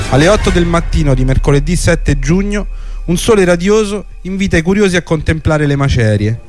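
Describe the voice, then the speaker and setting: A man's voice: a little high, a little mellow. A man delivering scripted historical narration in a radio documentary. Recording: clear music bed under the voice. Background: music.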